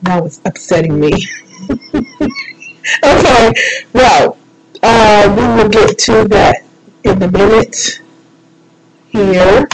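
Female live radio host, mid-pitched, informal and playful, rambling freely with self-deprecating asides. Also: lively intonation, slow pace, pauses often, poor audio.

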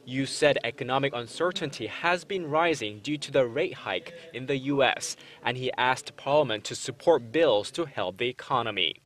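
A man's voice: mid-range voice, fairly bright tone. Young male news reporter. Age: young adult. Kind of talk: scripted news report narration.

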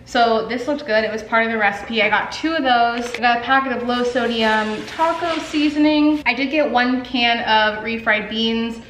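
A woman's voice: a little high, normal speed, few pauses, slight background noise. Young female artist vlogger, casual and rambling, full of "like"s, camera on a stand in a roomy kitchen. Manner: chatty, many "like" fillers, animated hand gestures. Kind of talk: casual vlog chat. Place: home living room and kitchen, indoors. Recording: echoey room.

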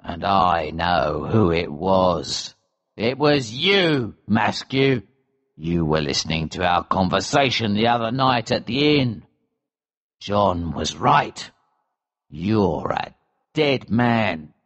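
Man playing a deep, slow, grim old smuggler. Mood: angry. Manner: calm, cold, deliberate. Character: grim, vengeful older smuggler, fatherly to a boy. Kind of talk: acted storybook dialogue.

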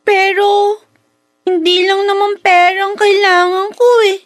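A sweet young girl with a very high, childlike voice. She sounds plaintive and wistful, longing for affection, in the manner of a Filipino radio drama. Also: little pitch movement, slow pace. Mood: wistful, earnest.

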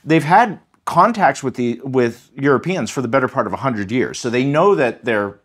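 A man's voice: medium pitch, lively intonation, normal speed, breathy edge. A man speaking as a history professor in an interview, explaining in an emphatic, articulate, scholarly way. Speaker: history professor, podcast guest.

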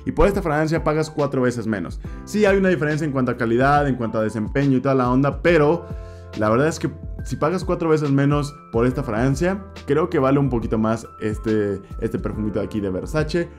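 A man's voice: mid-range voice, expressive, fairly quick, faint music behind. A young man in his twenties with a Mexican Spanish accent, casually talking through perfume picks in a vlog, repeating words for emphasis. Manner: chatty, gestures a lot, repeats words for emphasis. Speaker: fragrance reviewer. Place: indoor room with shelves of perfume bottles. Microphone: desk mic in front, camera at mid distance.

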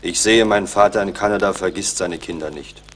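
Deep, solemn Huron warrior. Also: male voice, fairly quick, a bit bright. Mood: grave, probing. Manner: solemn, stately, ceremonious. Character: proud, solemn Huron warrior, watchful and grave. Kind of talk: German radio play acting.

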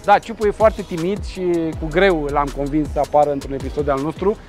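A man in his thirties presenting a car meet outdoors, lively and joking as he talks. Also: a little high, faint music behind. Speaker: car show host. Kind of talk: casual on-location presenting.

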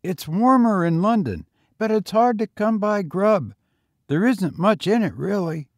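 A man voicing a frail, talkative, weary homeless boy of eighteen with a husky, colloquial, insistent way of talking in a story. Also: high voice, lively intonation, measured pace, dry recording, voice pushed up. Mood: matter-of-fact.

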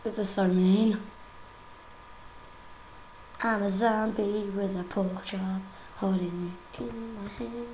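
A young woman with a croaky voice from a cold, chatting casually and giggling while she plays a game. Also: mid-range voice, fairly even intonation, slow, pauses often, mellow, reverberant, slight background noise, rough recording. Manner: chatty, giggly, easily exasperated. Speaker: gaming YouTuber. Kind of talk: casual gameplay commentary.

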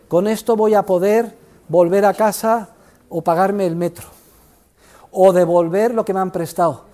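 An older man lecturing economics to a university class, theatrical and emphatic, swinging between loud outbursts and low asides. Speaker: economics professor.

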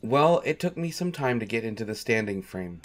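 A man's voice: lowish voice, expressive, normal speed, a little hiss or hum, lightly acted. A man playing a devoted, patient manservant in an audio roleplay, polite and dryly wry, with hesitant phrasing. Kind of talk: scripted audio roleplay, speaking directly to listener.

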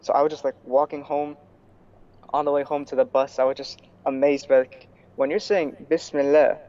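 A young man from New York, a student guest in a casual video-call interview, talking in a relaxed way with many fillers and restarts. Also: fairly high pitch, moderate pace. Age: young adult. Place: small room indoors. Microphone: earphone headset on video call. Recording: slightly dull video call audio.

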